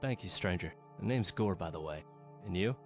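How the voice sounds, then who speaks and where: A man voicing a wounded rebel fighter in a video game, weary, strained and halting, with a wry, loyal temper. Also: low-pitched, expressive, faint music behind. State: injured, in pain. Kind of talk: video game character dialogue.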